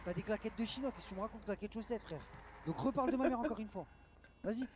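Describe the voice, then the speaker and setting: A man's voice: sits high, fast, background noise. A young man talking in casual, slangy, confrontational chat while playing an online roleplay game.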